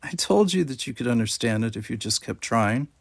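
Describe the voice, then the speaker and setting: A man's voice: mid-range voice, lively intonation, fairly quick, vocal fry, no room sound. A man reads the lines of a kindly schoolteacher, a mother with a wry streak, in a space storybook. The delivery is encouraging and the recording is dry. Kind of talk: acted dialogue in audiobook reading.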